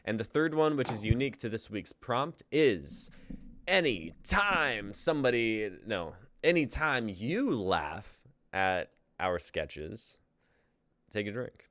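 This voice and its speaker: A man's voice: lowish voice, big pitch swings, slow pace, fairly bright tone, no room sound. A young man hosting a group livestream in a casual, jokey, informal way. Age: young adult. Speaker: host of a group streaming show. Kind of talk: casual livestream intro banter.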